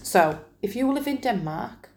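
A woman's voice: on the high side, animated, a little slow. A woman with a Northern English accent, bantering casually and playfully in colloquial speech as a podcast co-host.

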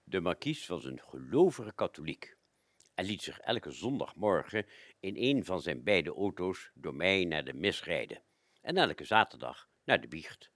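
An actor playing a male chauffeur recounting his master's tale in a radio play, with measured, expressive phrasing. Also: sits low, dry recording, studio quality, exaggerated voice. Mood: reverent, wry. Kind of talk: dramatic storytelling radio play.

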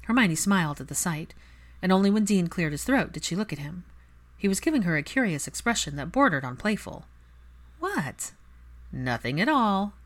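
Woman, audiobook narrator, lowish pitch, very varied intonation, scripted storytelling delivery. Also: expressive, fairly quick. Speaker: fan fiction narrator.

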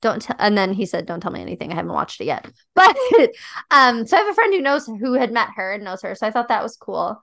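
Woman with a self-described high voice. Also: sing-song, fast talker, creaky, bright, forceful tone, dry recording, clean studio sound. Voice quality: high-pitched voice. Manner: thoughtful, reflective, many "like" and "um" fillers. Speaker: podcast co-host. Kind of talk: casual chat about a dating show.

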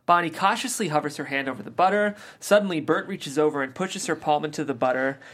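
Low-voiced woman reading directions. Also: fast talker. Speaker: narrator reading stage directions. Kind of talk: table read narration.

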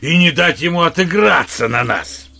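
An actor playing a big, jovial, crafty outlaw in a Russian audio drama, hearty and teasing, with a husky voice. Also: male voice, fairly high pitch, animated, normal speed, bright, forceful tone, no echo, soft background music, full character voice. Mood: sly, scheming. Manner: hearty, teasing, mock-humble servility with superiors.

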